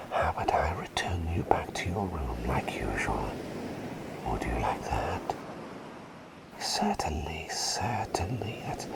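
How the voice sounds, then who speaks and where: Man playing a very deep, whispering doting plague doctor. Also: exaggerated voice, poor audio. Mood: soothing. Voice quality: breathy whisper with creaky low undertone. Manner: soothing, doting, repeats phrases tenderly. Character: doting, gentle male plague doctor tending his patient. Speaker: ASMR roleplay performer. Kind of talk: whispered ASMR personal-attention roleplay.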